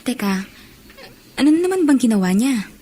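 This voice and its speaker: A woman's voice: high voice, big pitch swings, brisk, mellow. An actress playing a frank, sympathetic young girlfriend, chiding yet reassuring in radio drama style. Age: young adult. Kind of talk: Filipino radio drama acting.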